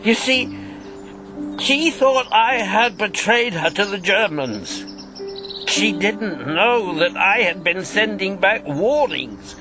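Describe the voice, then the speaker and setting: An elderly former wartime spy speaks very slowly in a fairly high register over music. He is embittered and grieving, weighing each phrase as in a radio play. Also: lively intonation. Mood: grieving, intense. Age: 70s. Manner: slow, weighty reminiscing with bitter outbursts. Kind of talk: radio drama acting.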